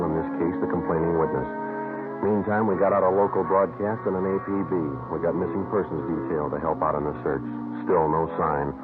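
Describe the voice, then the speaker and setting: An actor playing a terse, businesslike police detective in a 1950s radio crime drama, with clipped, matter-of-fact delivery. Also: male voice, sits low, close to an everyday voice. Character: terse, dry, businesslike male police detective narrating his case. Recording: tinny old radio recording, music behind.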